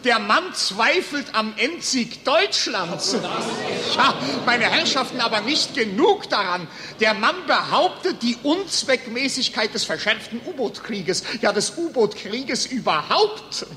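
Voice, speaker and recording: An actor playing a pompous, excitable psychiatrist lecturing colleagues in a theatrical old German radio play style. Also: male voice, very high voice, crowd noise, exaggerated voice. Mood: scandalised, mocking. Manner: theatrical, declamatory, with drawn-out emphasis. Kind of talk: mid-20th-century German radio play acting. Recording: old radio recording, hall reverb, hiss.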